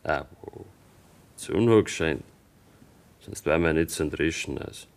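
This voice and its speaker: A taciturn young Bavarian farmer's son in a radio drama. His voice is deep. He answers guardedly, in clipped, evasive phrases. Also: moderate pace, gravelly. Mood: guarded, stolid. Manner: terse, evasive, flat answers. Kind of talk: German radio drama acting.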